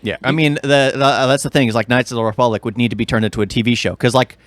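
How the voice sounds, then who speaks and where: A man's voice: medium pitch, fairly even intonation, very fast, no room sound. A man co-hosting a podcast in casual chat, rambling with enthusiasm and strong opinions. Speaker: podcast co-host. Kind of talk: casual chat about video games and film.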